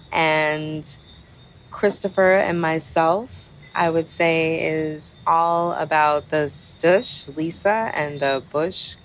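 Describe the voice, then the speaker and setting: Woman from barbados, low voice, takes her time, laid back and playful. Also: a little hiss or hum. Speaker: farm restaurant co-owner. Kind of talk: interview guest, casual chat.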